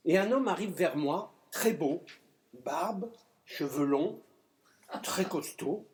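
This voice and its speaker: A man's voice: on the high side, lively intonation, slow pace, a little mellow. A middle-aged man, a poet and clown, telling a story and reciting a comic rhyming poem at a live reading, with playful wordplay. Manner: playful wordplay, chuckling asides. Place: live poetry event room.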